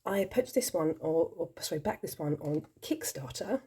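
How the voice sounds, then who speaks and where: A woman talking to camera in a rambling, chatty way with lots of ums, her voice croaky from a cold. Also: deep voice, lively intonation, normal speed, dark tone. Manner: chatty, rambling, self-deprecating, many ums. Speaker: tarot vlogger. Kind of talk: casual chat to camera.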